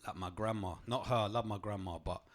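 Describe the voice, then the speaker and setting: A young man, doing a stand-up comedy set on a club stage with a deadpan, punchy delivery. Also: brisk. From England. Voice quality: deep voice.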